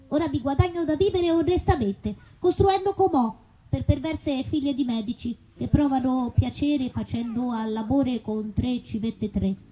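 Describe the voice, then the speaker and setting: A woman playing a reformed male troublemaker who earnestly protests innocence in deadpan comic stage dialogue. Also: sits high, a little hiss or hum, rough recording.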